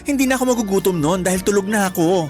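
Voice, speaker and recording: An actress playing a blunt, protective big-sister friend in a Tagalog radio drama, teasing in slangy talk with a Filipino accent. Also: female voice, mid-range voice, animated, fast, a little mellow, lightly acted. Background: background music.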